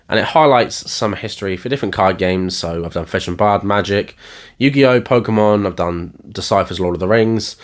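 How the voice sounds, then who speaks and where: A man hosting a card game channel, talking casually with plenty of ums, his voice a bit croaky from recent illness. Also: fairly low pitch. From England. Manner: rambling, lots of ums and uhs. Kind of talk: casual solo video update.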